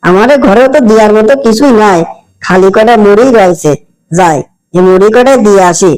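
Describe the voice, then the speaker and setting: Cartoon son, male actor: young, pious, hopeful, notably high pitch, earnest rural Bangladeshi accent. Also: fairly quick, darkish tone, natural voice, rough recording. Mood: worried. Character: devout, kind-hearted, hopeful young village son. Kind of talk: amateur cartoon voice acting.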